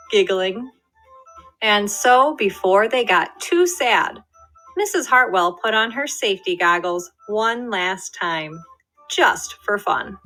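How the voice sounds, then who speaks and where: Bright woman reading a storybook. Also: mid-range voice, rough recording. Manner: lively, expressive storytelling with voiced character lines. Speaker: storybook reader. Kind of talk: children's read-aloud narration.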